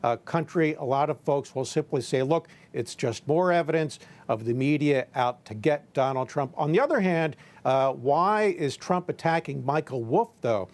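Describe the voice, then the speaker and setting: A man's voice: on the high side, lively intonation, normal speed, few pauses, a little mellow. A man commenting on politics as a guest in a live news discussion.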